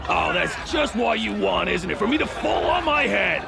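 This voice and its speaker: High, creaky drunken man. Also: big pitch swings, moderate pace, full character voice. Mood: petulant. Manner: drunken, petulant, calling out. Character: drunk, whiny, defiant village man. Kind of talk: video game background dialogue.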